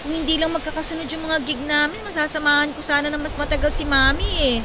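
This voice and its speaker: High-voiced, caring young daughter played by a woman. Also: flat delivery, fast talker, noisy background, lightly acted. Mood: concerned. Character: caring young adult daughter, band musician, worried about her mother. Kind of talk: Tagalog radio drama acting. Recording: loud music bed under the voice.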